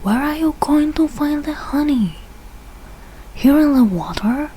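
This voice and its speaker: A woman reads a rhyming children's picture book aloud at an unhurried pace. Her voice is mellow and mid-pitched, and she goes phrase by phrase with deliberate pauses. There is faint noise behind her. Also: lively intonation, rough recording. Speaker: read-aloud reader learning English. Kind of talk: slow read-aloud of a rhyming children's storybook.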